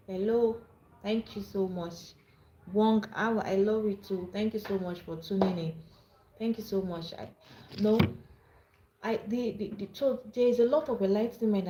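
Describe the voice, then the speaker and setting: Nigerian woman, live-stream host; earnest, halting delivery, mellow tone, echoey room. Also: mid-range voice, slight background noise. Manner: earnest, repeats and restarts words. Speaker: livestream host. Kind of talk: live-streamed advice talk.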